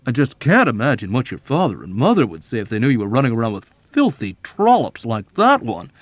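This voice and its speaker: A man voicing a smug, chubby male army officer who laughs with pompous, booming heartiness. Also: wildly swooping pitch, a little fast, dry recording, lo-fi sound. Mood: smug jovial.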